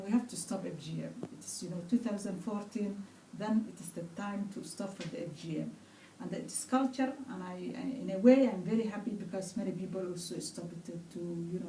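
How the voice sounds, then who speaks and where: A woman's voice: mid-range voice, warm tone. A woman from Somalia with a Somali accent, giving earnest interview answers as a guest campaigner in non-native phrasing. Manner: non-native phrasing, frequent "you know". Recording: roomy, distant mic, faint noise.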